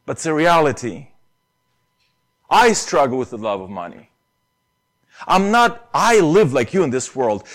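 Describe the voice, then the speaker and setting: A man's voice: a little high, fairly bright tone. A man with a Romanian accent preaching a sermon earnestly, pressing hard on key phrases. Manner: earnest, rises to emphatic stresses on key phrases.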